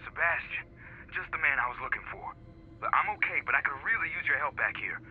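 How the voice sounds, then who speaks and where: A man's voice: on the high side, lively intonation, brisk, husky. Over a radio, a man plays an operative in a video game urgently calling for help. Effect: radio filter. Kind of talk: video game cutscene acting. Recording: muffled radio call, faint noise.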